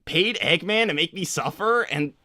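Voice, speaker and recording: A man's voice: a little high, expressive, normal speed, pushed and bright, no echo, studio quality. A man voicing a flustered, insecure young cartoon hedgehog hero, stammering and hesitant, in an improvised roleplay. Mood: dejected, wavering. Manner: stammering, hesitant, self-deprecating. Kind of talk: improvised in-character livestream roleplay.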